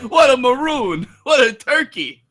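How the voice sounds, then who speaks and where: A man in casual group banter, joking with animated mock indignation. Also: very high voice, measured pace, a little hiss or hum.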